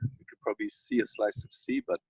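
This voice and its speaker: A man with a South African accent, a winemaker talking casually and haltingly with many fillers while standing outdoors in a vineyard, tired and coughing now and then. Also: darkish tone, no echo, low-quality recording.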